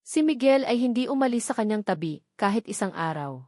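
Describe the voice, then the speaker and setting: A woman's voice: mid-range voice, animated, a little fast, dark tone, dry recording, clean studio sound. A woman with a Filipino accent reading scripted dramatic narration as an audiobook storyteller.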